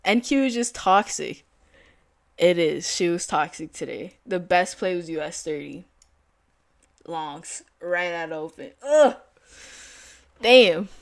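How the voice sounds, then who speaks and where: Young woman, lowish voice, laid-back streamer talk, dry close sound. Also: lively intonation, takes it slowly, vocal fry, pauses often, dry recording. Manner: casual, filler-heavy, slangy. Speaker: day trader streaming live. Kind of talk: casual live-stream chat.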